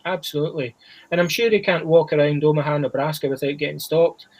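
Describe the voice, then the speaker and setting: A man's voice: on the high side, steady tone, fast. A Scottish man on a boxing podcast, chatting casually with a lot of restarts and "you know"s. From Scotland. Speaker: boxing podcast panelist named Chris. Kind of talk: casual chat.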